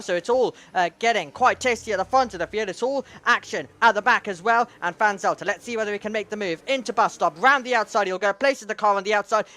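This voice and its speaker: A man commentating a sim racing league race, calling battles and building to excited shouts when cars fight wheel to wheel. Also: high-pitched.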